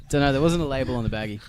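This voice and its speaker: A man's voice: mid-range voice, darkish tone, slight background noise. A man with a head cold, sounding nasal and snuffly as he co-hosts a casual podcast chat with wry asides. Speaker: podcast co-host.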